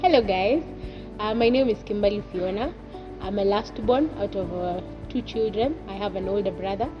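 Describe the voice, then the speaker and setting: A woman's voice: medium pitch, moderate pace, lo-fi sound, over music. A young woman in her twenties from Kenya with a Kenyan accent, telling her life story in a chatty way and dropping in Swahili asides. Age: 20s. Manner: chatty, laughs at herself, drops in Swahili asides. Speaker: guest.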